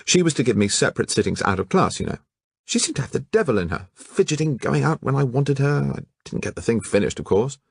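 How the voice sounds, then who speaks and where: A man's voice: mid-range voice, big pitch swings, fast talker, gravelly, slightly rough, no echo, studio quality, pitched above the performer's own voice, heavily acted. A man doing a blunt, curt woman painter. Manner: curt and harsh.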